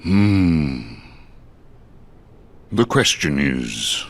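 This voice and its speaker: Very deep, solemn old sorcerer. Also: male voice, big pitch swings, full character voice. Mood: grave, foreboding. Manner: solemn, measured, formal. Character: grave, wise old male sorcerer master. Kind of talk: video game cutscene acting. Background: background music.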